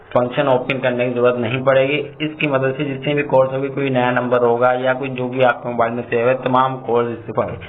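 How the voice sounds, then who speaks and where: A man hosting a tutorial, explaining steps in a repetitive, instructional way. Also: medium pitch. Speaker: tutorial host. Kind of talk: step-by-step phone tutorial narration. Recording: tinny phone-like audio, background noise.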